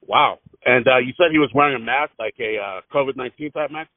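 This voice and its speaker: A man asking casual questions as an interviewer on a phone call, in a halting, hesitant and rambling way. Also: medium pitch, no echo. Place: telephone call. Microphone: phone line. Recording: narrow muffled phone-line audio.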